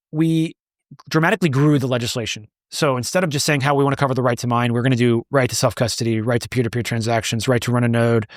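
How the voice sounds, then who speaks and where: A man's voice: mid-range voice, no echo, clean studio sound. A man answering interview questions as the founder of an advocacy group, speaking emphatically and repeating words as he builds a point.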